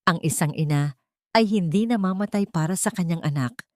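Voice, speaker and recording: Female storyteller, low voice, solemn scripted narration, close dry sound. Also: normal speed, a little mellow, no echo.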